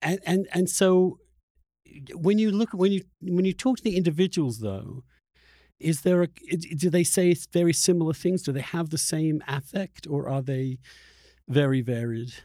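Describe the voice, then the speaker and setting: Male podcast host from Europe, mellow dark tone, reflective, conversational, dry recording. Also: fairly high pitch, moderate pace, no room sound. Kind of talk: conversational discussion.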